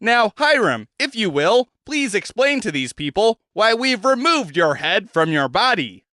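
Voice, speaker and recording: An eager, upbeat alien host, as a man reads him, sitting well above the man's own pitch and leaping around lively. He stays polite and brisk, with a bright, dry sound. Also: no echo, exaggerated voice. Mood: enthusiastic. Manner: brisk, enthusiastic, courteous.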